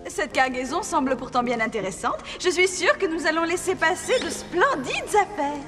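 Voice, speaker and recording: High-voiced young cartoon princess. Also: female voice, moderate pace, faint music behind. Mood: composed. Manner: theatrical, expressive. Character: spirited, imperious young fairy-tale princess. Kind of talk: cartoon voice acting.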